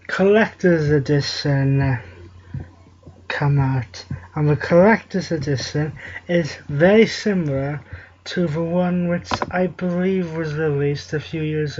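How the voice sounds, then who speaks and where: Guy cohosting a wrestling chat show, jokey, takes his time, muffled lo-fi mic w/ a bit of hiss. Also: a little high. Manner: jokey, plays with names. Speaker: co-host of a wrestling talk show. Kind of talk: casual amateur show chat.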